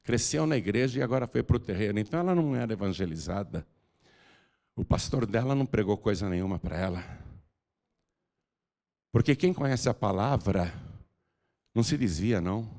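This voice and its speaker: A man, preaching an altar call as pastor, moving between low confiding talk and loud, drawn-out exhortation. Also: expressive, creaky. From Brazil. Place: large church hall. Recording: long hall reverb.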